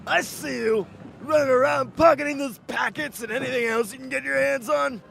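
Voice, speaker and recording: A man's voice: extremely high pitch, steady tone, moderate pace, vocal fry, fairly bright tone, heavily acted. A man playing an angry, suspicious, foul-mouthed gas station clerk who rants accusingly, in an audio drama. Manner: accusing, ranting.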